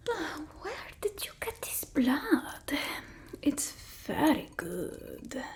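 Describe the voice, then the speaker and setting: A haughty female vampire, played by a woman in an ASMR roleplay, speaking in a soft, breathy whisper. Her voice sits high yet stays mellow, and she draws out her words as she fusses imperiously at her human familiar. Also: wildly swooping pitch, exaggerated voice. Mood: scolding. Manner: slow, imperious, fussy complaining with drawn-out words.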